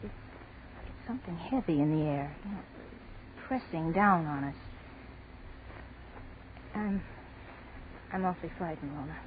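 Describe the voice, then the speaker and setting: Low-voiced anxious young woman, tinny radio. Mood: frightened. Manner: hesitant, halting, breathless unease. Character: anxious, frightened young female college student. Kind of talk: 1940s radio horror acting. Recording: noisy, tinny 1940s radio broadcast.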